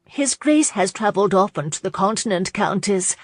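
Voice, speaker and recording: A woman's voice: medium pitch, animated, moderate pace, no echo, clean studio sound, close to an everyday voice. A woman voicing a chirpy, meddling society hostess with formal, effusive manners in an audiobook. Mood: chirpy, eager.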